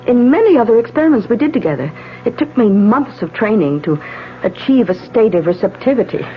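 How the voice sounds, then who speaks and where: Gracious, lilting radio drama wife. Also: female voice, fairly high pitch, very animated, a little fast, slight background noise. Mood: earnest, polite. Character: compliant, gracious wife and psychic test subject. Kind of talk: 1970s radio drama acting. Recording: muffled, humming 1970s radio broadcast.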